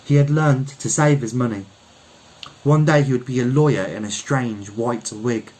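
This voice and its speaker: A man's voice: mid-range voice, moderate pace, a little mellow, poor audio. A man reading a literary short story aloud as its narrator. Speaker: story reader.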